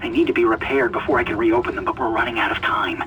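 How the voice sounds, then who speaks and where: An actor playing a terse, commanding engineer under pressure in a video game, gruff and curt. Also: male voice, medium pitch, fairly even intonation, quick pace, fairly bright tone, recorded from a distance, a little hiss or hum, close to an everyday voice. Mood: grim, urgent.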